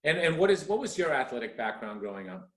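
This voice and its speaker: A man's voice: medium pitch, big pitch swings, fast, a bit bright, echoey room. A man hosting a podcast interview and chatting enthusiastically with his guests. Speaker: podcast host and coach. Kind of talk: casual interview chat.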